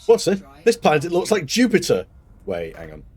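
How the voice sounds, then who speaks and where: A man's voice: high-pitched, darkish tone, husky. A man with a Mancunian accent chatting casually while live-streaming a game, rambling and laughing often. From Manchester, England.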